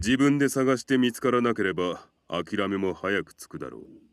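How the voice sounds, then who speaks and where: A man's voice: lowish voice, animated. A man voicing a serious adult male character in a Japanese visual novel, firm and matter-of-fact. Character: serious adult man giving frank advice.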